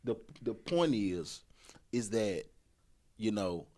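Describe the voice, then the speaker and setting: A man's voice: lowish voice, expressive, slow, a little mellow. Man in his thirties chatting casually. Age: 30s. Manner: rambling, repeats himself, colloquial, laughs often. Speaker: podcast co-host. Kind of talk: casual relationship advice talk.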